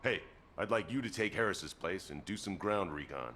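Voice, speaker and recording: A responsible squad leader in a video game. His voice is deep and he speaks plainly, gruff and no-nonsense. There is faint ambience behind him. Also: animated, normal speed, fairly bright tone, a little hiss or hum. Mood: caring, firm.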